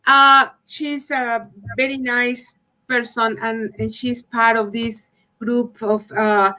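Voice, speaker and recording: A woman co-host with a slightly high voice who talks slowly. She hesitates and searches for her words. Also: a bit bright, rough recording. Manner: hesitant, many fillers and restarts. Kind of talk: casual introduction.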